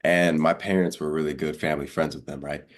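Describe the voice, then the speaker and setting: Very deep-voiced, congested young man. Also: fairly even intonation, brisk. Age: young adult. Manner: chatty, informal, slangy, acts out remembered dialogue. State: congested, under the weather. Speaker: guest, college high jumper. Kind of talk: casual interview storytelling.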